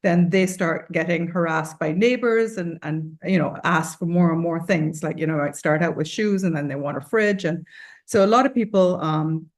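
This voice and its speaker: A woman's voice: fairly low pitch, moderate pace. A woman, speaking on a panel as a charity founder, earnest and rambling with many fillers and restarts. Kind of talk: casual panel discussion.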